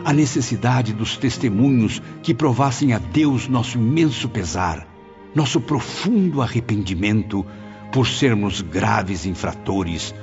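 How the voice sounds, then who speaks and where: A man's voice: mid-range voice, unhurried. A man narrating an audiobook in the first person, with solemn, emotive, literary delivery. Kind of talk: dramatic scripted narration. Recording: dull lo-fi with music bed. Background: clear background music.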